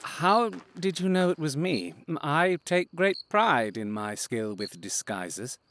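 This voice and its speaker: Self-assured detective for audio drama: highish, very lively male voice, precise and theatrical, faint noise behind. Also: takes it slowly. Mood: flustered, courteous.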